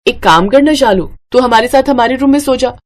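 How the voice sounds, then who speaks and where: A woman's voice: sits high, brisk, pitched above the performer's own voice, lightly acted. A woman voicing a young, dutiful and firm daughter-in-law in a cartoon story, earnest and emphatic. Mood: friendly. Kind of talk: Hindi moral-story cartoon acting.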